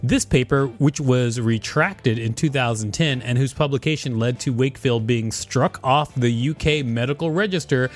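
A man co-hosting a podcast, reading an encyclopedia article aloud and commenting on it. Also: medium pitch. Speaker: podcast co-host.

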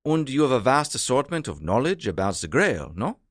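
An actor playing a pompous, sarcastic German military villain with a theatrical German accent in a comedy. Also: male voice, mid-range voice, sing-song, a little fast, no echo, studio quality. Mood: haughty, commanding. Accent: mock German. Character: pompous, impatient German military villain, childishly vain, secretly soft.

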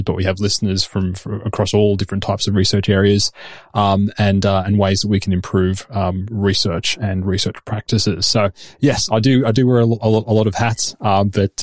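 A man with an Australian accent, a researcher explaining his work in a steady, conversational way. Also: on the low side, no echo, studio quality. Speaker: researcher and podcast host. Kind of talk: conversational interview answers.

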